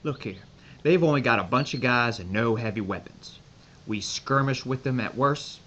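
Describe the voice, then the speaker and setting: An actor playing a brisk, authoritative American army major with an American accent in an audio drama. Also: male voice, mid-range voice, fairly bright tone, natural voice. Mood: firm. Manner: authoritative, terse. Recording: dull lo-fi recording with faint noise.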